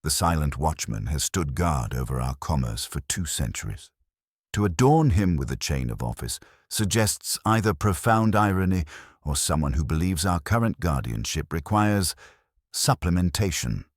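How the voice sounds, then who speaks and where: Shrewd townswoman voiced by a male narrator, deep, thoughtful murmur, drily ironic, storybook mystery. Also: big pitch swings, normal speed, no room sound, clean studio sound, heavily acted. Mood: musing. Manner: murmuring, reflective.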